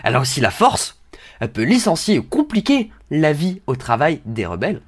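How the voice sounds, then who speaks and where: A man's voice: on the high side, wildly swooping pitch, fairly quick, breathy edge. A man, reading an article aloud with hesitant, sarcastic mock-naive asides. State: has a cold. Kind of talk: sarcastic read-along commentary.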